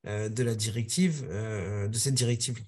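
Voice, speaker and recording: A man giving a webinar presentation over a video call, speaking haltingly with many filler sounds and restarts. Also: fairly low pitch, a little mellow, a little hiss or hum.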